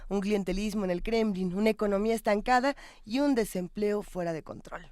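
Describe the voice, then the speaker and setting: A woman's voice: mid-range voice, a little fast, darkish tone, no echo, studio quality. A woman with a Mexican accent reading news and asking questions as a television co-host. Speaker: TV magazine show co-host. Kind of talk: news intro and interviewing.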